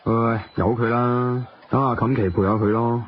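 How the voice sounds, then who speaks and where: An actor playing a pragmatic, sceptical but caring friend in a radio drama, matter-of-fact and sighing, with a Hong Kong Cantonese accent. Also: male voice, medium pitch, steady tone, moderate pace, breathy edge, natural voice. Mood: concerned, resigned. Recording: dull lo-fi radio audio with faint music.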